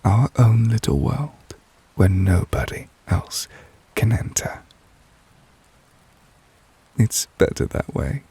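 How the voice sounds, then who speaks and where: A man playing a gentle, refined, possessive witch in love, speaking breathy and hushed in an intimate ASMR romance roleplay. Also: on the low side, big pitch swings, slow pace, pauses often, no room sound, heavily acted. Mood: coaxing, possessive. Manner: gentle, refined, wistful, formal phrasing. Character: soft-spoken, tender, possessive male witch in love.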